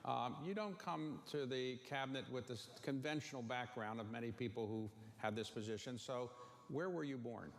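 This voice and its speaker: A man's voice: medium pitch, normal speed. A man interviewing a guest with blunt, probing short questions. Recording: faint noise in a long-reverb room.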